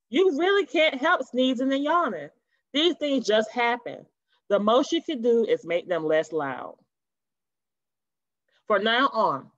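A woman's voice: medium pitch, dry recording. A woman reading a storybook aloud to children like a teacher, expressively, with chatty asides, on dull webcam audio. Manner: animated, chatty asides to young listeners.

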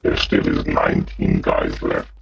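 A man voicing a sinister demon who commentates on a game in a slow, theatrical, guttural growl. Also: rumbling low, completely flat delivery, creaky, breathy edge, exaggerated voice. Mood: brooding. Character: sinister male demon playing games, mock-menacing and slow. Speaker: gamer. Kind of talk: live gameplay commentary. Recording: muffled lo-fi audio, faint noise.

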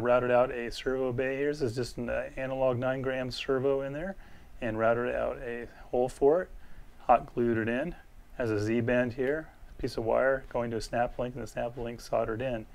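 Guy walking thru a diy build in his workshop, casual, kinda halting, lots of vocal fry. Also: medium pitch. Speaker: instructor, model glider expert. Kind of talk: hands-on workshop demonstration. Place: small indoor hobby workshop.

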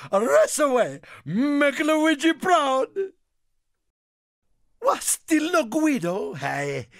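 A man voicing an excitable, warm-hearted Italian car in a video game, with an Italian accent and a theatrical manner. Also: very high-pitched, no room sound, studio quality, heavily acted. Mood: excited, urging, then fretful, pleading. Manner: theatrical, refers to himself in third person. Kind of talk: animated video game character lines.

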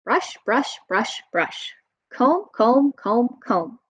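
Animated American woman reading a picture book. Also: on the high side, extremely slow, slightly rough, no echo. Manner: expressive, sing-song read-aloud delivery. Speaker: children's storytime reader. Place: room at home. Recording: dull, muffled webcam audio.

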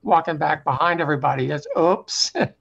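A man's voice: on the high side, a little fast, creaky, a bit bright, lo-fi sound. A man making wry, hesitant small talk on a video call. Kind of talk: casual chat at an online talkback. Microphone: video call microphone.

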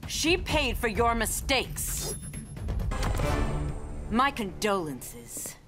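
An actress playing a proud, resolute warrior heroine in a video game, stern, formal and commanding. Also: female voice, fairly high pitch, big pitch swings, drawn-out words, full character voice. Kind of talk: video game cutscene acting. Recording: music behind the voice.

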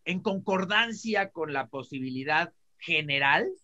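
A man's voice: fairly high pitch, animated, a little slow, sharp tone. Talk co-host: Mexican man, middle-aged, colloquial, repeats himself while finding words, slightly lo-fi call audio. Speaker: co-host commentator. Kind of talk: casual opinion talk. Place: indoors, on a video call. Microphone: video call.

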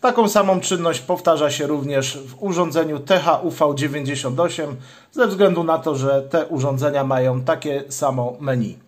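A man's voice: on the high side, moderate pace. A man hosting a step-by-step hands-on tutorial, explaining each stage in a methodical way. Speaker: channel host, product demonstrator.

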